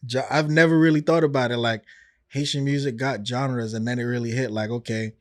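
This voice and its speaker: A man's voice: medium pitch, fairly even intonation, fairly quick, dry recording, clean studio sound. A man hosting a casual interview, laid-back, nudging the talk along with short "okay"s and "mm"s. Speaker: podcast host.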